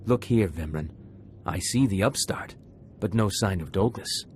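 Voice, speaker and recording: A man's voice: deep voice, animated, a little slow, slight background noise. A man playing an uneasy, deferential henchman acolyte in a video game. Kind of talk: video game cutscene acting.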